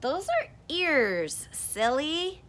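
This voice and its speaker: A woman's voice: sits high, big pitch swings, extremely slow, a bit bright, voice pushed up, heavily acted. A woman doing a stubborn rabbit-believer. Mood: teasing. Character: stubborn storyteller insisting it's a rabbit.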